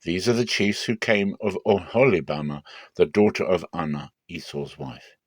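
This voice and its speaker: A man reading scripture aloud dramatically, in measured and deliberate phrases with clear pauses. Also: sits low, no echo. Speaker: Bible reader.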